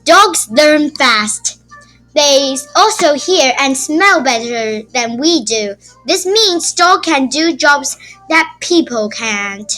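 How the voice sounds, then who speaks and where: A woman reading a children's nonfiction book aloud as host, with deliberate, simple phrasing. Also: high-pitched, lively intonation, pushed and bright, no echo, faint music behind, poor audio. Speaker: YouTube read-aloud host.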